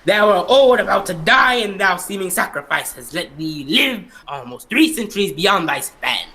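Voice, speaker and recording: Young man as a high-voiced, bitter cursed captain. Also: lively intonation, moderate pace, sharp tone, full character voice, rough recording. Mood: bitter, scornful. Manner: declamatory, anguished, vengeful. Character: bitter, cursed, centuries-old male ghost ship captain. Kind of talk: old-time radio melodrama acting.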